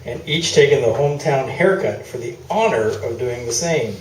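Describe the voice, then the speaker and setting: A man's voice: mid-range voice, animated, moderate pace, a little mellow, close to an everyday voice. Man playing a wry skeptical newsman, echoey room. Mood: sardonic. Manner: dry, wry, needling. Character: sardonic, skeptical male newsman, a weary needling foil. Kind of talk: live staged radio play dialogue. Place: small theatre stage, indoors. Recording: roomy, distant live theatre video, noisy.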